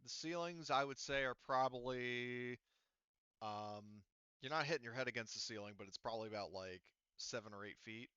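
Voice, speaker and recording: A man refereeing a tabletop game as game master in a casual, halting way, thinking aloud as he goes. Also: medium pitch, bright, forceful tone, smooth, dry recording, lo-fi sound.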